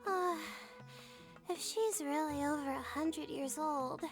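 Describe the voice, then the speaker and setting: A woman's voice: sits high, slow pace, faint music behind. A woman voicing an exhausted, timid young student in a video game, hesitant, meek and drowsy. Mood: weary, pleading. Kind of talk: video game character acting.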